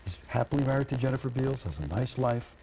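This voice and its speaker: A man's voice: mid-range voice, expressive, fast talker, vocal fry, noisy background. A man hosting a morning television show, chatting casually in a celebrity interview.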